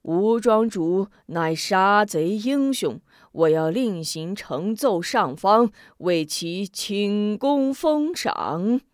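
A woman voicing a two-faced male county magistrate who wails loudly and speaks in a pompous official manner. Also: mid-range voice, expressive, slow, few pauses, no room sound, clean studio sound. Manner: loud, weepy, pompous official wailing.

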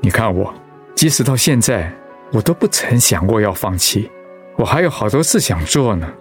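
An actor playing a seriously ill but patient, encouraging husband in a Mandarin radio drama. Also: male voice, on the low side, sing-song, moderate pace. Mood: earnest, reassuring. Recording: music under the voice.

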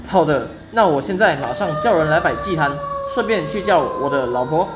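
A woman's voice: deep voice, animated, normal speed, heavy background noise. A teenage female student playing an anxious, devoted husband in an amateur radio drama. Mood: urgent. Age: teens.

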